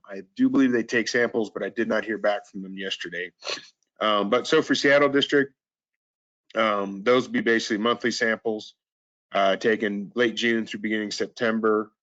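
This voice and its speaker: Man, hoarse, hesitant meeting presenter, muffled video-call sound. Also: on the low side, a bit bright. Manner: hesitant, frequent ums and throat clearing. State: hoarse, recovering voice. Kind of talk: casual verbal update. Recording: dull lo-fi call audio.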